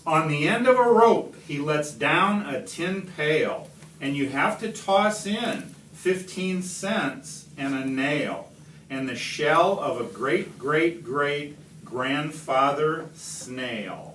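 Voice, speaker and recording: A man reading a rhyming picture book aloud to children, expressively, with deliberate, dramatic pauses. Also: a little high, expressive, fairly bright tone. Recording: roomy, distant home video with slight noise.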